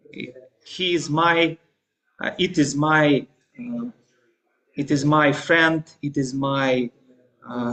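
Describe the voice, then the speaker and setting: A man with a Russian accent lectures in careful, hesitant English. He speaks slowly, stopping often for fillers and restarts. His voice sits a little higher than most men's. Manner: halting, frequent fillers and restarts; hesitant non-native English. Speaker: guest lecturer. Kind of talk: scripture class lecture.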